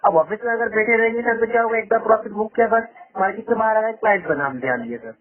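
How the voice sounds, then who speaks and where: A man making an insistent, repetitive sales pitch over the phone, often adding tag questions. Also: fast. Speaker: trading company sales caller. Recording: muffled band-limited phone call recording.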